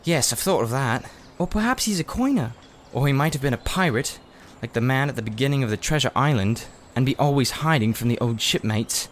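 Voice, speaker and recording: A man's voice: mid-range voice, animated, a little hiss or hum, close to an everyday voice. A man voicing a miserable, blunt young boy in a storybook, blurting out his words in a rush. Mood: secretive, wary. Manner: breathless, rushed, blurting complaints.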